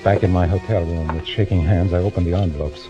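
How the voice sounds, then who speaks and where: An American actor playing a desperate, nervy swindler turned killer, confessing in a tense, dramatic 1940s radio thriller style. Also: male voice, low-pitched, steady tone, fast talker, dull audio, close to an everyday voice. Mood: nervous, furtive. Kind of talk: 1940s radio thriller acting, first-person narration. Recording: old band-limited radio recording with music.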